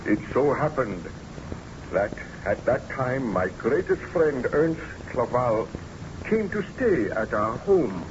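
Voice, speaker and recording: An actor playing an obsessed, weary scientist in a theatrical, deliberate old-time radio drama style, on a muffled, noisy recording. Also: male voice, mid-range voice, big pitch swings. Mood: brooding, intense. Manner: theatrical, deliberate, brooding narration.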